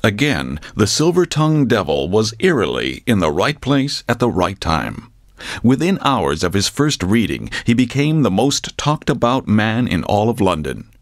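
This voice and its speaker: A solemn narrator delivers mock documentary script with deliberate dramatic pauses. His voice is on the low side and animated, recorded close and dry. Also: no room sound.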